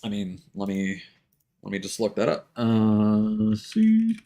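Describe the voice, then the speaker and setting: A man's voice: on the low side, sing-song, slow pace, dark tone. Man chatting casually on a livestream. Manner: informal, profane, explanatory. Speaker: game streamer.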